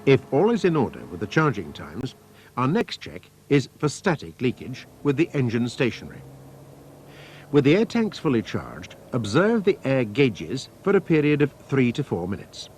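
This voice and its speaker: A man reading scripted technical instructions as a training film narrator, in a measured, methodical, formal manner. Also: medium pitch, wildly swooping pitch, a little mellow. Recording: faint noise, archival training film soundtrack.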